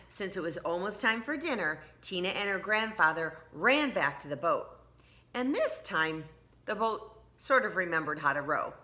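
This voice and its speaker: A woman teacher reading a storybook aloud to children, expressively, pausing to put questions to them. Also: lowish voice. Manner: expressive, questioning the young listeners.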